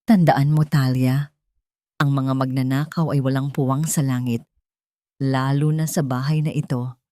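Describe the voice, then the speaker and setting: A woman's voice: very deep voice, fairly even intonation, warm tone, dry recording, clean studio sound, pitched below the performer's own voice. Woman playing a menacing, haughty old mansion mistress. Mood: veiled menace. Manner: cold, slow, threatening, condescending.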